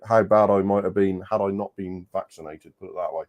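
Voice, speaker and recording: A man with a fairly low voice, stuffed-up and congested, talking over a video call. He hesitates with frequent ums and leans on dry understatement, sounding a little worn down by his illness. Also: fairly even intonation, fairly quick, darkish tone. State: congested, still coughing. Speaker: co-host. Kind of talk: casual live-stream chat.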